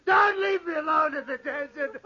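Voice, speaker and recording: A man's voice: extremely high pitch, normal speed, pushed and bright, heavily acted. A man acting an impassioned, lovesick soldier losing his mind in the desert, in a melodramatic 1940s radio drama style. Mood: anguished grief. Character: lovesick, unhinged soldier stranded in the desert with a panther. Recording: muffled, noisy 1940s radio recording.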